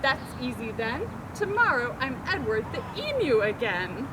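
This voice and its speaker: A woman's voice: on the high side, very animated, measured pace, background noise. A woman voicing a restless, eager male emu from a children's storybook, declaiming in rhyme. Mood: decided, pleased.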